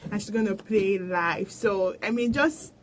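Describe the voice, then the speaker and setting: A female radio host in a studio reacts eagerly to her guest, saying "wow" again and again. Her voice sits at a middle pitch, and faint clicks run behind her. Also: unhurried, slight background noise. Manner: enthusiastic, says "wow" again and again. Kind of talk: casual radio interview.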